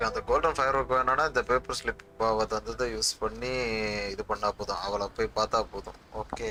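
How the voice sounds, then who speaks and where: A man's voice: medium pitch, fairly even intonation, very fast. A man with a Tamil accent giving casual live gameplay commentary, mixing in English words. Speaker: game streamer. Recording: loud game music behind voice. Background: video game music.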